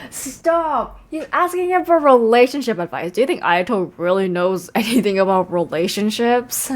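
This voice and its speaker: A woman's voice: on the high side, lively intonation, normal speed. A young woman giving casual, joking game commentary with slangy, playfully dramatic delivery. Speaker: game streamer.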